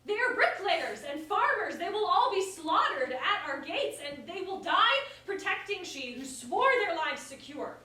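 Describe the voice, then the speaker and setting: A woman's voice: extremely high pitch, very animated, unhurried, few pauses, bright, forceful tone, full character voice. A woman playing a proud, principled ruler in a staged verse comedy, declaiming her lines. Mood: anguished. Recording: distant mic in echoey room, hiss.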